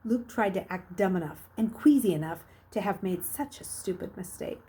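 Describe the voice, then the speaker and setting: A woman reading a children's novel aloud like a teacher, with expressive, dramatic emphasis. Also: mid-range voice, moderate pace, a little hiss or hum. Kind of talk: expressive storybook narration.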